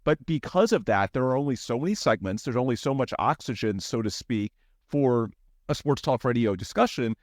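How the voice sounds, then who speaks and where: A man's voice: medium pitch, animated, moderate pace, dry recording, clean studio sound. A man hosting a sports podcast, asking long, winding questions full of asides. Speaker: podcast host.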